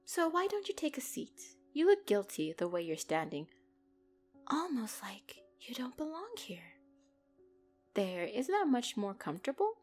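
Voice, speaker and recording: A woman's voice: a little high, big pitch swings, a little slow, a little mellow, faint music behind. A woman playing a commanding, possessive female mafia boss in a dramatic audio roleplay, condescending and controlled, lacing mock sweetness with threats.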